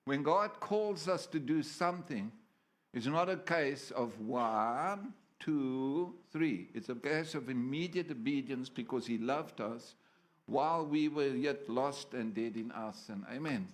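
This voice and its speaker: A man's voice: medium pitch, measured pace. A man preaching a sermon in church, playful and full of stories, often asking the crowd for amens. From South Africa.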